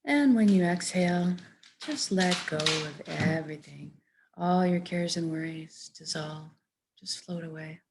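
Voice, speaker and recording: Woman, lowish pitch, guided meditation leader, gentle, soft-spoken, measured soothing instruction. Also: gravelly, a little hiss or hum.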